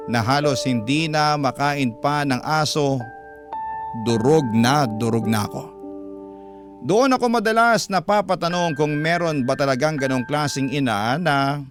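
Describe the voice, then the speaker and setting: Earnest male story narrator over music. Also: mid-range voice. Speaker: radio-style story narrator reading a letter. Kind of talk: dramatic scripted narration.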